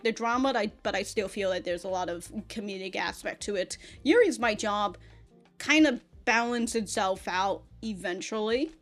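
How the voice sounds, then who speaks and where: A woman's voice: medium pitch, a bit bright. A woman giving a casual, unscripted review to viewers, giggly and rambling, often stammering through repeated false starts. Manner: giggly, often laughing as she talks. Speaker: anime reaction and review host.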